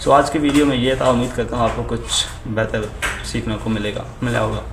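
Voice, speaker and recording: Gravelly-voiced man with an Urdu accent. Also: mid-range voice, fairly even intonation. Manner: halting, with frequent fillers and restarts; switches between English and Urdu. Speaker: vlogger giving language-learning advice. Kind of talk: casual talking to camera. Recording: echoey room with faint ambient noise.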